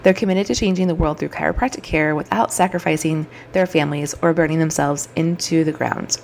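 A woman's voice: low-pitched, fairly even intonation, a little fast, creaky, a little mellow. A woman in her thirties hosting her own podcast, talking in an upbeat, chatty way, her throat a little scratchy and froggy. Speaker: podcast host. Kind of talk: solo podcast monologue.

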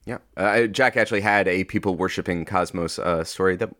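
A man with a fairly low voice chats as an interview guest. He speaks informally about creative work, in a halting way, often reaching for the next word. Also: a bit bright. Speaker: podcast guest, audio fiction creator. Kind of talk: casual interview chat.

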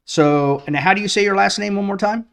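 Casual man hosting a podcast, slightly high voice. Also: brisk, gravelly, a bit bright, clear, resonant tone. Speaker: podcast host.

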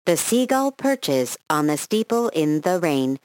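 An actress playing a detached, mysterious announcer who delivers riddles in a measured way in an audio drama. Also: female voice, deep voice, very animated, darkish tone, dry recording, studio quality. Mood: detached, cryptic. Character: cryptic, calm female announcer voice handing out riddle clues.

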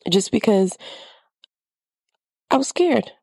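A woman's voice: mid-range voice, sing-song, slow pace, creaky, mellow, slightly rough, dry recording. A woman podcast host with a slightly congested, nasal voice, talking candidly in a reflective personal monologue.

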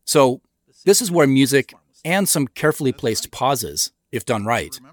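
A man giving scripted director's commentary in a measured, explanatory manner into a close mic. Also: medium pitch, expressive. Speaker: show creator and commentator.

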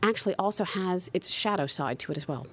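A woman's voice: fairly low pitch, quick pace, breathy edge. A woman in her forties with an American accent, a researcher answering interview questions and often adding "right?" as she explains. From United States. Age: 40s. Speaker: guest researcher.

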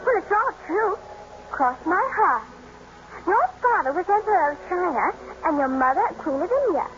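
An actress playing a willful, impetuous child in a 1950s radio drama, speaking emphatically. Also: female voice, very high-pitched, big pitch swings, moderate pace. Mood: coaxing. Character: willful, quarrelsome children of a moorland household. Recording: muffled, noisy old radio broadcast.

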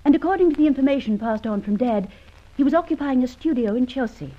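A genteel, wry young sidekick in a vintage radio adventure. Her voice is a little high and very expressive, with arch phrasing. The recording sounds muffled. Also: quick pace. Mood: practical, doubtful. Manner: arch, well-spoken. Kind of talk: old radio drama acting.

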